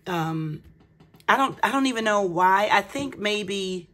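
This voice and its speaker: A woman budgeting vlogger chatting casually and explaining things to viewers in a folksy, self-deprecating way, her voice slightly cracked and raspy. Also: medium pitch, lively intonation, slow pace, fairly bright tone.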